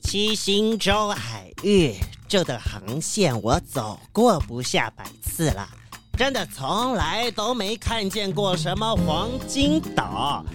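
An earnest cruise-yacht captain, explains himself slowly with strong rises and falls. It is a children's radio play, with prominent music behind him. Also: male voice, high-pitched, very animated, exaggerated voice. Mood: puzzled, insistent. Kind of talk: children's mystery audio drama.

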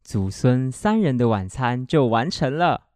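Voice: mid-range voice, big pitch swings, unhurried, darkish tone, dry recording. A narrator reading scripted storytelling for a children's radio drama.

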